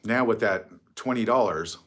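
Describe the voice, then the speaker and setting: A man's voice: lowish voice, moderate pace, a bit bright. A middle-aged man hosting a casual livestream from his phone, rambling, mocking and swearing freely. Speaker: livestream host.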